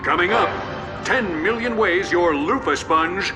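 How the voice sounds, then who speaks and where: An actor voicing a smooth male TV news anchor with polished broadcast delivery. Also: high-pitched, unhurried. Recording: game cutscene with faint music.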